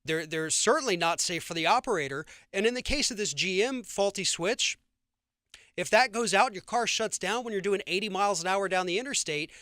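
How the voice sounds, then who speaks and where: A man's voice: sits high, a little fast, sharp tone, dry recording, studio quality. A man with an American accent hosting a radio interview, speaking emphatically and indignantly, often stammering over repeated words.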